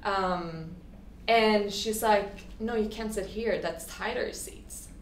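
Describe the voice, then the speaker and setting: A woman's voice: mid-range voice, slow. Chatty young woman from Sweden. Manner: chatty, lots of "like" and restarts, acts out quotes. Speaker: guest. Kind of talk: casual interview chat. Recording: echoey room, faint ambient noise.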